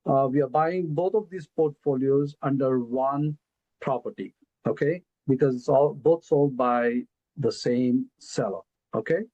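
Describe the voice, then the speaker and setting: A man with an Indian accent presenting an investment pitch on a video call, speaking slowly and deliberately with many fillers. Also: a little mellow, dry recording, dull audio. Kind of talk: casual webinar presentation.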